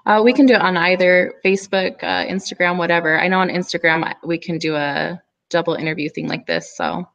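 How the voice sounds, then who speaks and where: A woman with a lowish voice and a cold walks through products on a live video call. She talks casually, with many fillers. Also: steady tone, a little fast, vocal fry, fairly bright tone, muffled recording. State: has a cold. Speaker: guest business owner.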